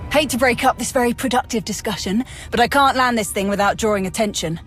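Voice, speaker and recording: An actress playing a brisk, sardonic female military officer and pilot in a video game, informal and practical, over music. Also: fairly high pitch, fairly bright tone, lightly acted. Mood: exasperated, practical. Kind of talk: video game cutscene acting.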